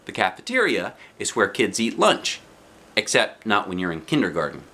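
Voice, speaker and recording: A man reading a children's storybook aloud in an expressive narrating style with short, simple phrases. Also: lowish voice, moderate pace. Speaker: storybook reader.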